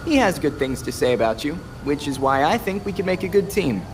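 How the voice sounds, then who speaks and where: A man's voice: on the high side, very animated, a little fast, noisy background. An actor playing an eager young male vigilante sidekick in a video game, with an earnest, boyish manner. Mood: determined, hopeful. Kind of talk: video game cutscene acting.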